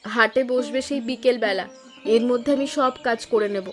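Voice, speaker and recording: A woman plays a devoted young village daughter in a cartoon folk tale. Her voice is very high, emotive and insistent, with clear music underneath. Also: normal speed, natural voice. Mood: scolding, caring. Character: devoted, stubborn young village daughter who sells chillies. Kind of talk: animated Bengali folk tale voice acting. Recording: music behind the voice.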